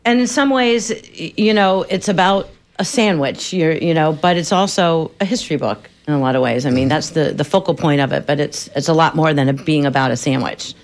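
A woman with a somewhat low voice works as an interviewer and co-host. She introduces guests and asks questions in a conversational style. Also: brisk, few pauses. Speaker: radio show co-host, interviewer.

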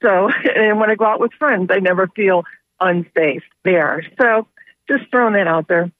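A woman's voice: mid-range voice, moderate pace, dry recording. Woman caller on a dry phone line. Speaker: caller to radio show. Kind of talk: casual unscripted opinion. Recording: dry, narrow telephone line.

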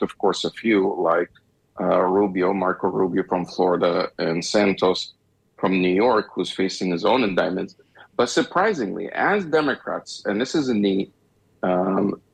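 A man's voice: low voice, vocal fry, husky, low-quality recording. A man from Egypt co-hosting a talk radio show, discussing politics in a rambling way with frequent restarts and wry chuckles.

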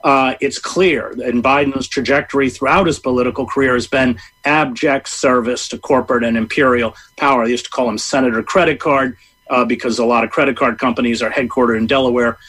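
A middle-aged man giving long, measured interview answers, full of hesitations and fillers. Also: mid-range voice, steady tone, fairly bright tone, slightly rough. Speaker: interview guest, journalist. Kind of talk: interview answer, political commentary.

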